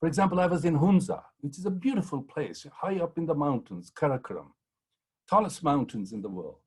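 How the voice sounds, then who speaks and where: Husky-voiced man. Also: on the high side, expressive, brisk, darkish tone. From Pakistan. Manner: rambling, many ums and restarts. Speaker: historian on a panel. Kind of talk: anecdotal storytelling in a panel talk.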